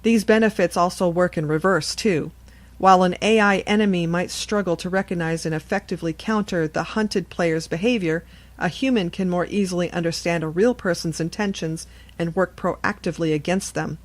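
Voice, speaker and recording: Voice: medium pitch, normal speed. A podcast presenter reading a scripted article aloud. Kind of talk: scripted article read aloud about games.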